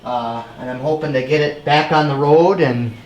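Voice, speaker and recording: A man with a somewhat high voice answers casually as an interview guest. He is hesitant and often repeats a word before going on. The recording is echoey, with clear noise around him. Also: normal speed, muffled recording. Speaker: interview guest, car collector. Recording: echoey, clearly noisy location sound.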